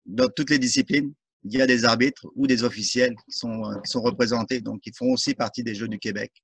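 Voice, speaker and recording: Ref guest, mid voice, dry close mic, explains things step by step. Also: male voice, mid-range voice, fairly even intonation, fairly quick, creaky, breathy edge, no room sound. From France. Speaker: guest, soccer referee and volunteer. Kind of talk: interview answers.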